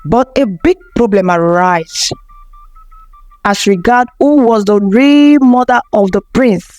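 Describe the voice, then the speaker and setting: A woman's voice: medium pitch, slow, darkish tone, no echo. A woman narrating a folktale from a script as a storyteller.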